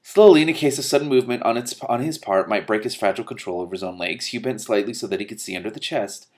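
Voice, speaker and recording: Low-voiced man reading a novel aloud. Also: fast talker, a little mellow. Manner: breaks off to react candidly, with swearing. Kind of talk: expressive book reading with casual reactions.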